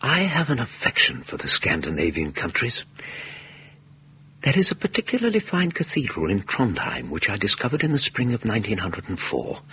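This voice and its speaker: Deep bright english don, very polite n fussy, voice swoops about, old radio play style. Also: male voice, huge pitch swings, normal speed, husky, exaggerated voice. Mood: musing, flustered. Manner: hesitant, donnish, fussily polite. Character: courteous, fussy English scholar and ghost-story writer. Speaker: narrator and lead character. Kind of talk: radio drama acting and narration.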